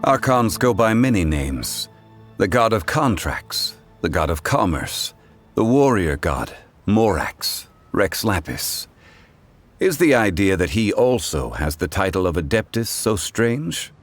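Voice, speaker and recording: A man voicing a dignified scholarly gentleman in a video game, speaking formally and gravely with eloquent, measured phrasing. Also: sits low, very animated, exaggerated voice. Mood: solemn, lecturing. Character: dignified, solemn scholarly gentleman, male funeral consultant. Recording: background music under the voice.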